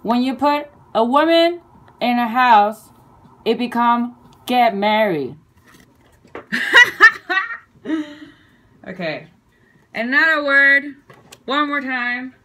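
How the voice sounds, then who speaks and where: Slow, halting woman, Chinese native. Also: on the high side, fairly bright tone. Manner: slow, halting, playful, with fillers and self-corrections. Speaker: video creator. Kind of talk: casual explainer lesson.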